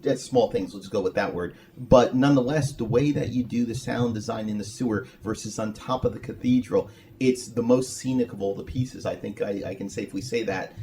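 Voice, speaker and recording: Guy interviewer, lowish voice, rambly and wordy, chatting casual with some room noise behind. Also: brisk, few pauses, dark tone. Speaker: interviewer, podcast host. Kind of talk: casual, admiring interview talk. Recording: noisy room recording.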